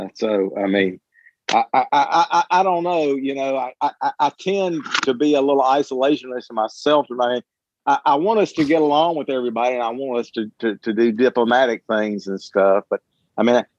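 A man talking casually in a group discussion, halting and often repeating his words as he goes. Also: mid-range voice, no room sound, muffled recording. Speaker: book club member.

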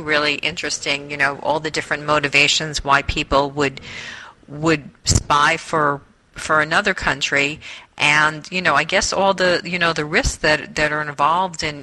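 Very bright, halting book club voice of unclear sex. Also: mid-range voice, rough recording. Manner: halting, repeats words. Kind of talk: casual group book discussion. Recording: faint noise and clicks.

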